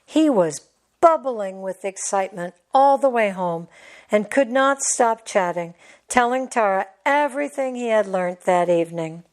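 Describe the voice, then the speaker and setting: A woman's voice: mid-range voice, lively intonation, a little slow. A woman reading a scripted children's story as a storybook narrator. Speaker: storybook reader.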